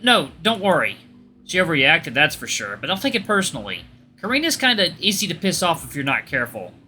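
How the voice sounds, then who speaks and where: Performer as a mild, bright-voiced young college man. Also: medium pitch, sing-song, brisk, slight background noise, pitched above the performer's own voice. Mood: reassuring. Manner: mild, hesitant, polite.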